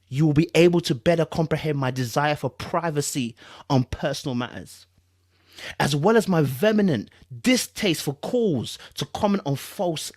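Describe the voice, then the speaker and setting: A man's voice: on the high side, darkish tone, no room sound, clean studio sound. A man giving a mock dramatic reading as a wounded, self-important man, theatrical, pompous and emphatic. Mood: solemn.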